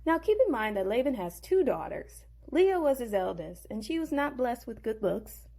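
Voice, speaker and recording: A woman's voice: a little high, big pitch swings, normal speed, mellow. A woman narrating a Bible story in a playful, slangy storybook style.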